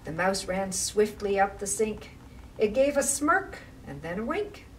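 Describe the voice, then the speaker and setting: A woman reads aloud to young children in an expressive storytime style. Her delivery is slow and deliberate. She is recorded at some distance from the microphone, with slight noise behind her. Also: mid-range voice. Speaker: library storytime reader. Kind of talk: read-aloud children's rhyming story with casual asides. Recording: distant mic with faint background noise.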